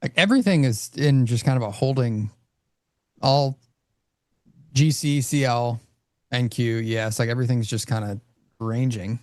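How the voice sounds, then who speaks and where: A man's voice: mid-range voice, unhurried, long pauses, darkish tone, no echo, studio quality. A man casually talking through his trades on a live stream, rambling with lots of fillers. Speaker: trader host. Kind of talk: live trading commentary.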